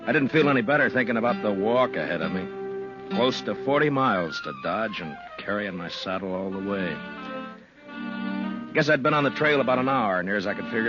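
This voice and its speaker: An actor playing a plainspoken, weary US marshal in an understated 1950s radio western style. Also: male voice, sits low, lightly acted. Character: weary, easygoing frontier US marshal on the trail. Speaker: lead actor and narrator. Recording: muffled vintage radio recording, music behind.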